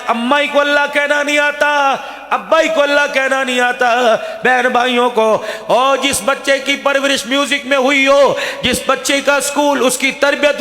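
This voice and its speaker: Male preacher, very high-pitched, impassioned and repetitive sermon delivery, long hall reverb. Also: bright, forceful tone. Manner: emphatic, drawn-out rhetorical questions and repetition.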